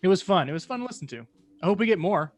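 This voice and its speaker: Young man, slightly high voice. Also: animated, very fast, soft background music. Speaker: podcast panelist. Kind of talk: casual chat. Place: home room, indoors. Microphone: close podcast mic on video call.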